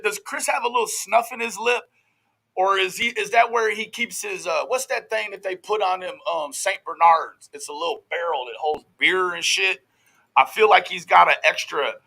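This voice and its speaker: A man's voice: sits high, sharp tone, husky, rough recording. A man giving casual, profane reaction commentary as host of a true crime show, in a brash, folksy, slangy way.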